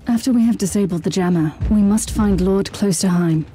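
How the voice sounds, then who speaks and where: A woman's voice: medium pitch, fairly quick, mellow, close to an everyday voice. A woman voicing a stern, commanding, devout inquisitor in a video game, speaking curtly and with authority. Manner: curt, authoritative.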